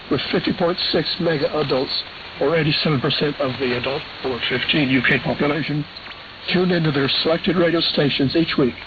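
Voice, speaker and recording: A man presenting a radio programme, reading scripted news and listener reports in a measured, matter-of-fact way over shortwave radio. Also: mid-range voice. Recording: hissy, dull AM shortwave radio reception.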